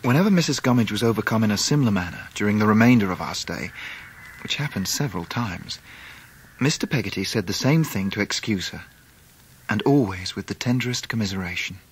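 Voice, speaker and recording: A man playing a grown English narrator recounting his boyhood in a radio drama, in measured literary phrases. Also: lowish voice, lively intonation, slight background noise, natural voice. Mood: wistful.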